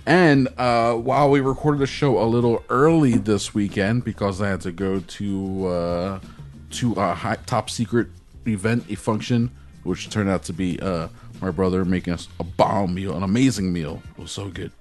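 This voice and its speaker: A man's voice: on the low side, steady tone, moderate pace, a little mellow, soft background music. A man hosting a podcast, chatting casually in a laid-back, rambling way and swearing now and then. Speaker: podcast host. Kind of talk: casual chat.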